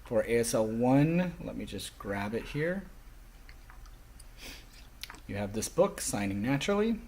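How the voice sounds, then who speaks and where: A man voicing a classroom lecture as an interpreter, speaking emphatically in halting phrases with stressed key words. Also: medium pitch, very animated, a little mellow, slight background noise.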